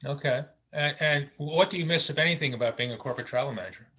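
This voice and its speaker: A man hosting an interview show on a video call, friendly and a bit halting with fillers. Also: on the high side, a bit bright. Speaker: interview host.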